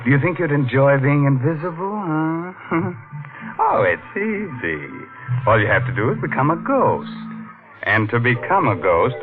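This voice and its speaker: An actor playing a ghoulish, mocking horror-show host in a theatrical, tongue-in-cheek 1940s radio style. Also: male voice, medium pitch. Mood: sardonic glee. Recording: muffled vintage radio, loud music behind.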